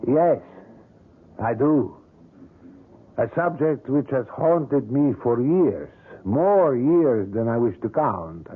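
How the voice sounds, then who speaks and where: A suave, obsessive older sculptor. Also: male voice, medium pitch, animated, slow, pauses often, breathy edge. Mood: courtly, insistent. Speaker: sculptor character. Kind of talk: 1970s radio drama acting. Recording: tinny lo-fi old radio broadcast.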